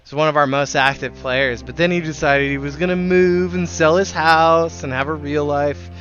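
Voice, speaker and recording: A man's voice: a little high, fairly even intonation, normal speed, a bit bright, lo-fi sound, faint music behind. A man streaming a game live, chatting casually in a friendly, rambling way. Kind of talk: casual chat while streaming.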